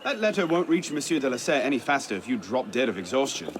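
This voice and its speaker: Game rogue, nonchalant young Frenchman, male actor, wry and animated, outdoor noise clearly behind. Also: medium pitch, fast, close to an everyday voice. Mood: teasing, breezy. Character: breezy, wry young Frenchman, self-assured and teasing. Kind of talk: dramatic video game voice acting. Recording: noisy outdoor street ambience.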